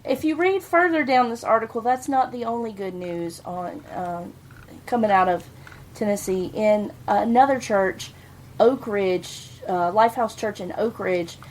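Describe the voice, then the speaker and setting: A woman's voice: mid-range voice, measured pace, a little mellow, a little hiss or hum, rough recording. A woman co-hosting a casual podcast, reading out a news story with dry, wry remarks. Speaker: podcast co-host.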